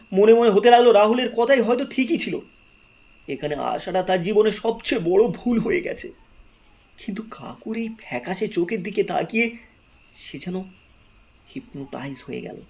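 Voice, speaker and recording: A man's voice: high voice, expressive, moderate pace, creaky, darkish tone. A man narrating a horror story in a dramatic, scripted storytelling style.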